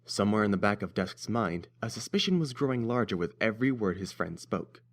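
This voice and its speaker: Low-voiced man narrating a story. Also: lively intonation, brisk. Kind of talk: scripted fan-fiction story narration.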